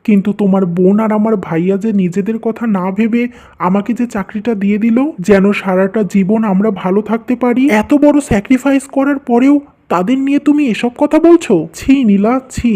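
A devoted young husband from a family cartoon, played by a man. His voice is mellow and rounded, and he talks earnestly and dutifully. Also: high-pitched, close to an everyday voice.

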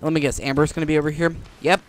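A man's voice: fairly high pitch, level tone, very fast, a little hiss or hum. A man hosting a gaming video with casual, rambling commentary, often repeating himself. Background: game music.

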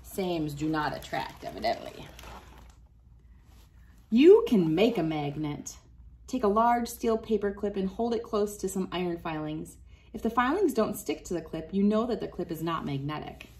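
A woman's voice: medium pitch, lively intonation, normal speed, darkish tone. Woman reading aloud to young children. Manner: instructive, addressing young children. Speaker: children's read-aloud host. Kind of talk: reading a picture book aloud with casual demonstration asides. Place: small wood-panelled room indoors.

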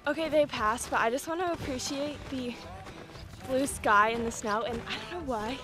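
A young woman in her twenties, a bit hoarse from losing her voice, chatting casually to a handheld camera on a snowy mountain trail. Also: high voice, normal speed, music in the background. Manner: chatty, frequent "like" and "super". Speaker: hiking vlogger. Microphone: handheld action camera at arm's length.

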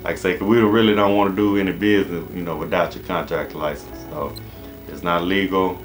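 An American man with a deep voice gives informal advice as a channel host. He rambles and repeats himself in a casual, conversational way. Music plays behind his talk. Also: steady tone, fairly quick. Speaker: HVAC business coach and channel host. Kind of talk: casual advice monologue to camera. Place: small indoor office.

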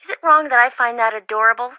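An actress voicing a chatty young woman friend in a video game, talking on the phone, excitable, jokey and often worried. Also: on the high side, fast, no room sound, phone-quality sound, lightly acted. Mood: amused.

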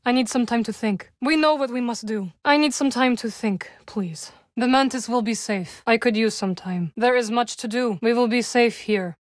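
High-voiced, solemn young witch played by an actress. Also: no echo, studio quality, natural voice. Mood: somber, gentle. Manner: measured, formal phrasing with few contractions. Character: young adult witch, solemn, guarded, dryly wry rebel. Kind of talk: video game character dialogue.

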